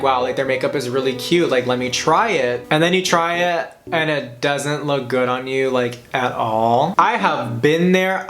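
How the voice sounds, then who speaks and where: Man, makeup vlogger, sassy and slangy, fairly high voice, going hoarse, roomy echo. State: losing his voice. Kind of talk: casual makeup tutorial chat.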